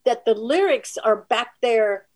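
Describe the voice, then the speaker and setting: A female singer with a soft voice, telling anecdotes casually in an interview. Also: fairly high pitch, expressive, a little slow.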